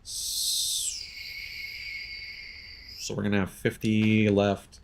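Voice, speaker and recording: Low male voice, game streamer, casual commentary, thinking aloud, faint background noise. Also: monotone, drawn-out words, creaky. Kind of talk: casual live gameplay commentary.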